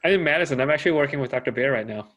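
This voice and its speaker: A man's voice: mid-range voice, very fast, a bit bright. A young man originally from Taiwan introduces himself on a video call. He sounds polite and easygoing, with a mid-range voice. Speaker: medical student. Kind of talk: casual self-introduction.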